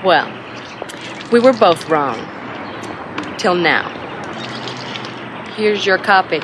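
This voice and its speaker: A woman's voice: fairly low pitch, very animated, extremely slow, background noise, heavily acted. An actress playing a cool, teasing, scheming woman in a television soap drama.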